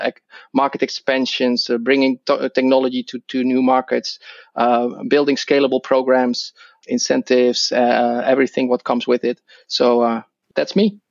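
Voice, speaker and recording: Middle aged dutch guy, gravelly voice, halting w lots of restarts, dry dull mic. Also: mid-range voice, no room sound. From Netherlands. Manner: halting, many restarts and fillers. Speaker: guest. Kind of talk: podcast interview.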